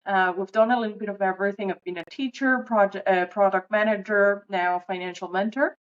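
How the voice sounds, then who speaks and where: A woman's voice: medium pitch, steady tone, brisk, lo-fi sound. A woman in her thirties from Venezuela co-hosting a money podcast, talking in a friendly, explanatory way with some fillers. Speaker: podcast co-host. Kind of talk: casual podcast chat.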